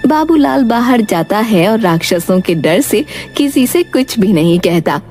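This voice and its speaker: A woman's voice: mid-range voice, animated, measured pace, a little mellow, soft background music. A woman narrator reading a scripted fairy tale as a storyteller.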